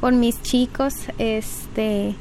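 A young woman answers questions politely, pausing often with small filler words. Her voice is fairly high, with faint noise behind it. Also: fairly even intonation, warm tone, low-quality recording. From Mexico. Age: 20s. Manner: polite, a bit hesitant, frequent filler words. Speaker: pageant candidate. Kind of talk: interview answers.

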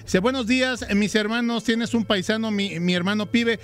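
He is a man from Argentina co-hosting a radio show, his voice pitched high for a man. He keeps things loose and chatty, teasing his partner and joking with the guest. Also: moderate pace. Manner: jokey, chatty and warm with guests. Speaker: radio host. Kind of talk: radio interview.

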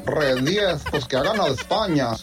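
A man with a high voice leaves a playful, joking voice message for a radio host. Music plays clearly behind him. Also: animated, normal speed. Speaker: caller.

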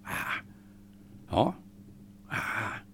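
A man's voice: drawn-out words, pushed and bright. A man with a Mexican accent giving sarcastic radio commentary, emphatic and repetitive, sometimes dropping to a whisper.

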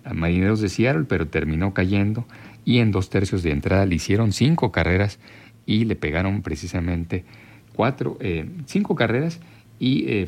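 The deep-voiced Mexican man on a weekend radio sports show, reading out scores and standings with halting pauses to find the word. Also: a little mellow. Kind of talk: sports news recap and commentary.

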